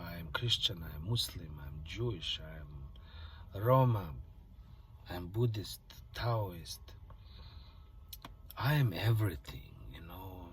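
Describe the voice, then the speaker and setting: A man from Bosnia talking in a casual, reflective vlog, musing softly and often dropping to a near-whisper, from a parked car. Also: on the low side, slight background noise. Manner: musing, soft-spoken, drifts into near-whispers. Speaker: vlogger.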